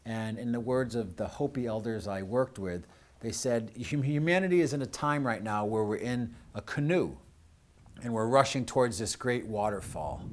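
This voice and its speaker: American guy hosting a local show, lowish voice, earnest, kinda halting, talking straight to the audience. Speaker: host of a community radio and TV show. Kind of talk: unscripted closing address to audience with thanks.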